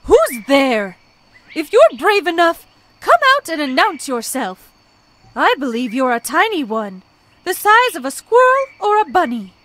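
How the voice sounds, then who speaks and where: Woman acting a large female ostrich, high-pitched, proud, wounded, suspicious, challenging, children's storybook cartoon. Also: sing-song, unhurried, a bit bright, exaggerated voice. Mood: firm, challenging. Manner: challenging, questioning.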